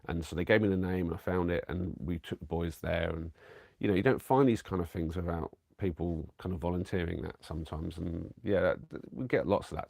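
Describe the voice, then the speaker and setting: A man's voice: deep voice, creaky, breathy edge, dry recording, clean studio sound. A man, rambling casually to viewers on a live stream from his camper van, a bit bunged up from a cold. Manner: chatty, rambling, warm to viewers, many fillers and restarts.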